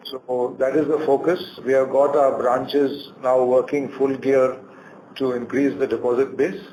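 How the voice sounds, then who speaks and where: A man's voice: mid-range voice, flat delivery, a little slow, mellow. A man with an Indian accent speaking as a bank executive, answering questions on an earnings call. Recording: faintly noisy conference call.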